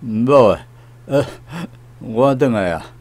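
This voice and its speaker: A man's voice: mid-range voice, animated, takes it slowly, a little mellow. An actor with a Taiwanese accent playing a drunken, idle and flippant grown son in a radio drama, his speech slurred and sluggish.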